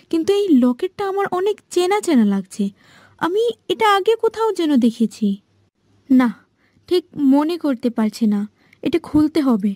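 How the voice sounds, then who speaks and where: High, mellow, innocent young girl voiced by a woman. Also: no echo, studio quality. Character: puzzled, trusting young girl held as palace guest. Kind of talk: animated fairy-tale cartoon acting.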